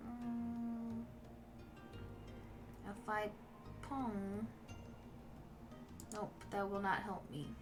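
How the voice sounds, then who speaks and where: A woman whose first language is Mandarin chats with her viewers as a streamer while she plays. She talks at an unhurried pace, relaxed and musing, in a voice of middle pitch. Clear game music runs behind her. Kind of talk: casual chat with viewers while playing a game.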